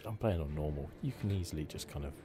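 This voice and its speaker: Male ASMR gaming host, very low voice, soft-spoken and unhurried, game music behind. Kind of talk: relaxed ASMR game playthrough commentary.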